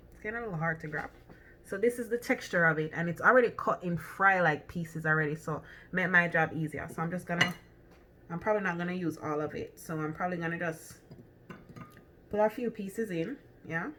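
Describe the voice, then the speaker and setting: Bright-voiced Jamaican woman cooking. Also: lowish voice, normal speed, slight background noise. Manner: chatty, thinks aloud with many ums and restarts. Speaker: cooking vlogger. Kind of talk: casual cooking demonstration. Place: home kitchen.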